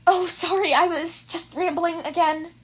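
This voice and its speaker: A woman's voice: extremely high pitch, fairly even intonation, measured pace, pitched above the performer's own voice. A woman voicing a nervous, eager, brainy teenage boy who rambles and apologizes in a fan fiction reading. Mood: flustered. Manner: rambling, apologetic, self-effacing. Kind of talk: fan fiction audiobook character voice.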